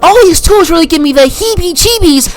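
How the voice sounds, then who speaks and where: A woman's voice: very high-pitched, expressive, brisk. A woman voicing a prissy, smug, dainty cartoon assistant principal with a fussy, sing-song, babyish way of talking.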